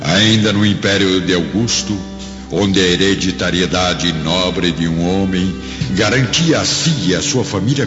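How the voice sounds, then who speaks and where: A Brazilian man narrating a radio drama in a solemn, theatrical way, with weighty pauses. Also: deep voice. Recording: dull lo-fi, long hall reverb. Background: prominent background music.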